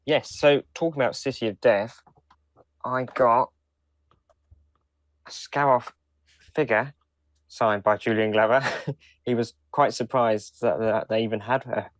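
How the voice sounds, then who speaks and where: A man's voice: mid-range voice, fairly even intonation, unhurried, long pauses, dry recording. A man co-hosting a podcast in casual conversation. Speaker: podcast co-host. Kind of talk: casual chat.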